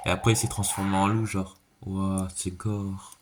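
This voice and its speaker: A man's voice: deep voice, level tone, normal speed. A man giving a casual, slangy reaction commentary, thinking aloud and repeating himself. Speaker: reaction video creator. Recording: clearly noisy background.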